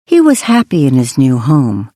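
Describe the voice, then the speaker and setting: A woman's voice: very deep voice, wildly swooping pitch, moderate pace, warm tone, no echo, studio quality. A woman reading a scripted fairy tale as a children's story narrator.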